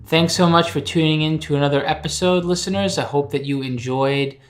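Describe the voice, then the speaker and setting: A man's voice: fairly high pitch, fairly quick, faint music behind. A man co-hosting a podcast, chatting casually with playful, self-mocking jokes. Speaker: podcast co-host.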